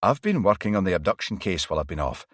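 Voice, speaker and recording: Intense off-duty detective guy, urgent, earnest, lively voice, read by a man. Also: deep voice, quick pace, dry recording, clean studio sound, full character voice.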